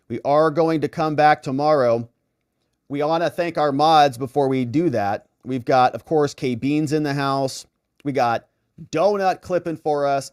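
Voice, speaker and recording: A man hosting a live commentary stream, reading out viewer messages and thanking supporters in a chummy, effusive way with theatrical, drawn-out emphasis. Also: medium pitch, a little mellow, no echo, clean studio sound. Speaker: host of a live political and legal commentary stream. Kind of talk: casual livestream sign-off, reading viewer messages and shout-outs.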